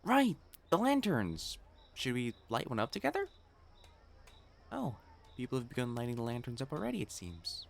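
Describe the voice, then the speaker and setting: A man's voice: mid-range voice, wildly swooping pitch, normal speed, vocal fry, long pauses. A man playing a prim young matchmaker fiancé in a romantic audio roleplay, formal and earnest in speech, easily flustered. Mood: eager. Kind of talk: intimate scripted romance roleplay acting.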